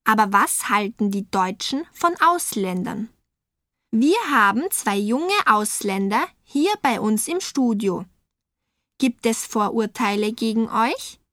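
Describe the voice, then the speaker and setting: A woman interviewing guests in a studio for a scripted language-course dialogue, asking her questions slowly and with careful enunciation. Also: on the high side, lively intonation, a bit bright, no room sound.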